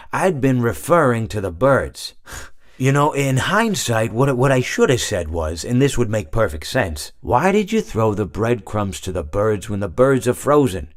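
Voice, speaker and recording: An actor playing a polite, gloomy animatronic bear in a horror game, telling stories in a halting, meandering, deadpan way. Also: male voice, on the low side, no echo, clean studio sound. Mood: earnest, exasperated. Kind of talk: character monologue from a horror game.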